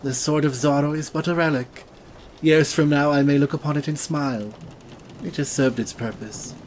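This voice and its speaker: Guy doing a suave languid gentleman hero, courtly and formal, voice a bit high, faint noise behind. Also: steady tone, normal speed, lightly acted, low-quality recording. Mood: languid, wistful. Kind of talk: dramatized audiobook dialogue. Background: ambient sea sound bed.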